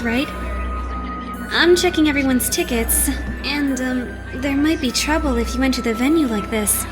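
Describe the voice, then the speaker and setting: A woman voicing a modest, polite young male idol in an anime game fan dub, hesitant and apologetic. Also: high-pitched.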